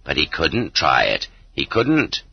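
A man's voice: extremely low pitch, huge pitch swings, slow, gravelly, slightly rough, no echo, muffled recording, voice pushed down, exaggerated voice. A man voicing a smug, dogmatic Marxist historian who lectures in a condescending way in an audiobook. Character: smug, pedantic educated male Marxist historian, dogmatically dismissive.

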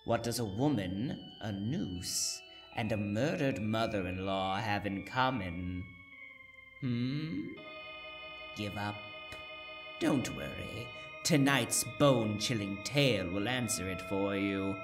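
A man's voice: lowish voice, expressive, slow. A man playing a gleeful, macabre horror show host, teasing and theatrical. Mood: sinister, teasing. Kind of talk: theatrical horror anthology introduction. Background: music.

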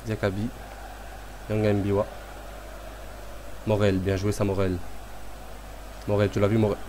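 Low-voiced man with a cold commentating. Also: measured pace, a little hiss or hum. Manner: excitable, talks to players and referee. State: has a cold. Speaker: gamer commentating his own football match. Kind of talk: casual play-by-play commentary.

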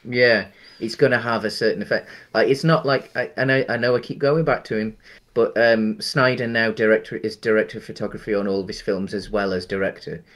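A man's voice: on the low side, fairly even intonation, a little fast, fairly bright tone. A man, co-hosting a casual film chat over a video call, rambling through restarts. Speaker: podcast co-host. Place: home room on a video call.

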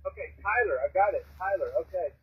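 An American man chatting casually as a radio amateur, halting and folksy, heard over a shortwave radio. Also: high voice, expressive, breathy edge. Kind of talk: casual ham radio chat. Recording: narrow, garbled shortwave radio reception. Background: radio transmission heard through a speaker.

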